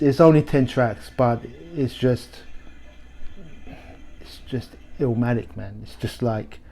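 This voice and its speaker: Man, middle-aged, record shop host, casual music chat, mellow warm tone, loose and conversational. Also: mid-range voice. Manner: relaxed, conversational, with frequent restarts and fillers. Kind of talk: casual music recommendations to camera.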